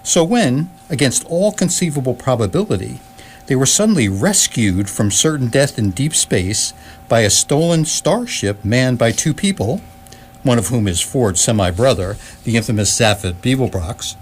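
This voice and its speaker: A man performs a wry storyteller for a comic space radio play. His delivery is mock-grand and deadpan, with lively rises and falls. The live stage recording has clear background noise. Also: mid-range voice, normal speed, close to an everyday voice. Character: wry, unhurried narrator of a comic space guidebook. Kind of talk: scripted comic narration for live radio theatre.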